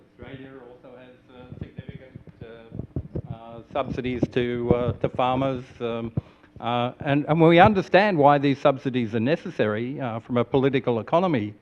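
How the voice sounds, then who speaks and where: A man's voice: medium pitch, normal speed, a little mellow. A man in a conference audience asking a policy question, hesitant and full of fillers. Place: conference hall audience, far from mic. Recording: distant roomy hall audio, dull and noisy.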